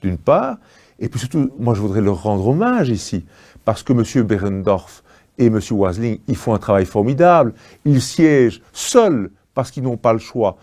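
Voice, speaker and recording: A man with a fairly low, soft-edged, relaxed voice. He speaks as a political party spokesman in an interview register. His delivery is assertive, with pauses as he searches for the right word.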